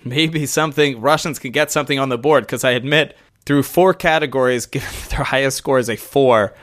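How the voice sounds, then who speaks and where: A man's voice: medium pitch, fairly quick, fairly bright tone. A man co-hosting a casual podcast who rambles through lists, laughs often and swears now and then. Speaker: podcast co-host.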